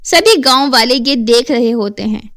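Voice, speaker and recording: A woman reading scripted narration for an animated horror storybook tale. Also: on the high side, a little slow.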